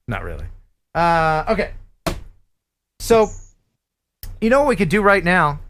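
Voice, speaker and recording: Lively high-voiced man hosting a live stream. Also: a little slow, a little hiss or hum. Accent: neutral radio American. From Philadelphia area. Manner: chatty, reading out donations with bursts of excitement. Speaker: livestream host. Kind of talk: casual live-stream chat.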